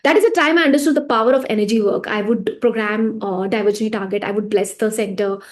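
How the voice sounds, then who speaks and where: A woman who teaches pranic healing, answering interview questions in a casual, lively way, laughing often and letting her phrases fade softly at the end. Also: medium pitch, fast talker.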